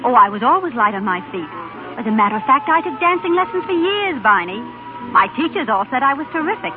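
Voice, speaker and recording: An actress playing a devoted, determined young wife in a 1930s radio drama. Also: female voice, high voice, big pitch swings, fast talker, over music. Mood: coaxing, determined. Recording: tinny, band-limited 1930s radio recording.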